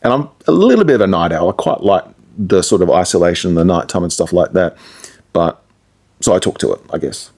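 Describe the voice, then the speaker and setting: A man's voice: low-pitched, expressive, brisk, a little mellow, husky. An Australian man, a radio presenter, chatting casually as an interview guest in a rambling, reflective, anecdotal way. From Perth, Australia.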